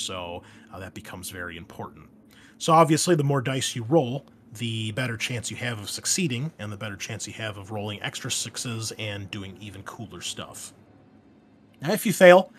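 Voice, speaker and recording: Low-voiced man explaining, noisy recording. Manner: informal, explanatory, addresses listener directly. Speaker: host and game master explaining rules. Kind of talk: casual explainer of tabletop game rules. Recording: noticeable background noise.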